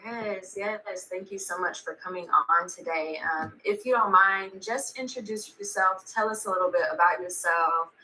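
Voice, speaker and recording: A woman's voice: medium pitch, normal speed, hardly any pauses, sharp tone, slight background noise, poor audio. A young woman hosting a podcast, chatting casually with her guest and using lots of "like" and "um". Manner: chatty, full of fillers like "like" and "um". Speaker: podcast host. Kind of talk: casual interview.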